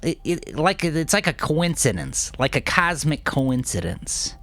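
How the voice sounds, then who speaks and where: A man voicing a tense, hot-tempered younger criminal who speaks in profane, rough language. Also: a little high, sing-song, fairly bright tone, dry recording, soft background music, pitched above the performer's own voice, full character voice. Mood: earnest. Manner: snarling, profane, halting when explaining.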